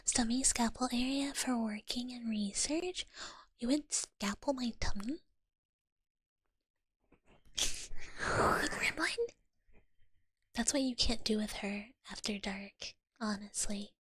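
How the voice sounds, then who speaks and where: Slow breathy whispering woman. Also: on the high side, dry recording. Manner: soft whisper with frequent giggles. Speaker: streamer reading chat. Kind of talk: whispered ASMR stream chat.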